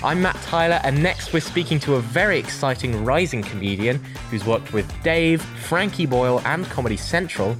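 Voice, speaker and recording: A man's voice: medium pitch, animated, moderate pace, few pauses, over music. A man presenting on radio, interviewing a guest in a light, chatty way. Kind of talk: light interview chat with a comedian.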